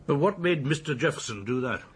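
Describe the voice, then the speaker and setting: Casting: polite, probing English detective in radio drama, heavily rasping voice with animated delivery. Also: male voice, on the high side, a little fast. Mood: methodical. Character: courteous, methodical police detective questioning a witness.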